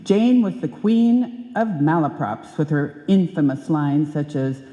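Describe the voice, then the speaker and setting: A woman's voice: low voice, a little slow, dark tone, muffled recording. A woman announcer reading a scripted introduction to a live radio show in a chatty, theatrical way. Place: indoor hall stage, live performance. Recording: echoey hall, live stage.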